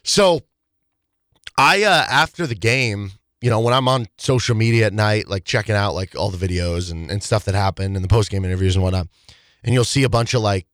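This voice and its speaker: A man co-hosting a sports talk show, hoarse from losing his voice, rambling with frequent restarts and fillers. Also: on the low side, fairly quick, a bit bright, dry recording, studio quality. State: hoarse, voice partly lost. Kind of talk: casual sports chat.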